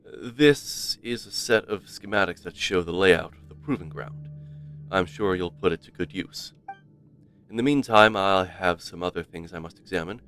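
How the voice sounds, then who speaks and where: Low, formal scholarly ruins researcher played by a man. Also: fairly bright tone. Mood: pleased, brisk. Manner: formal, explanatory, measured. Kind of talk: read-aloud game dialogue.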